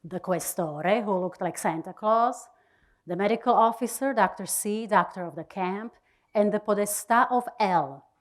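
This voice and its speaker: A woman giving a dramatic reading of a diary, deliberate and expressive, with pauses. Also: mid-range voice. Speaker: reader of a diary.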